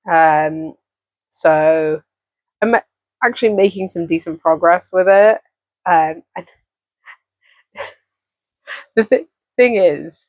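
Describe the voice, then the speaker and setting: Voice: mid-range voice, no room sound. A young adult with an English accent chatting casually to camera as a crochet vlogger, rambling with many ums and self-corrections. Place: home office. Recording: dull, dry recording.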